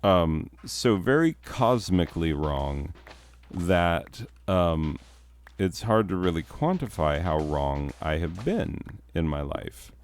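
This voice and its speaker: A man, a teacher, chatting casually in a deep voice with a downward, newscaster-like inflection that sounds authoritative. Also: sing-song, slow pace. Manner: rambling with restarts and chuckles. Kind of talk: casual chat.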